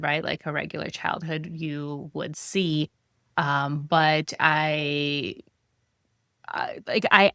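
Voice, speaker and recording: Low, gravelly woman from Russia, coach as interview guest, dry close sound, chatty. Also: a bit bright, dry recording. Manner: conversational with fillers and restarts. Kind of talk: casual interview storytelling.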